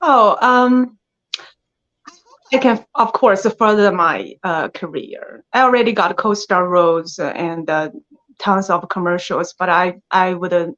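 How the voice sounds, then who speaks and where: A woman with a thick Chinese accent, a young actress talking about her career in a casual interview, halting and laughing often between phrases. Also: fairly low pitch, fairly bright tone. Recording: dull lo-fi recording.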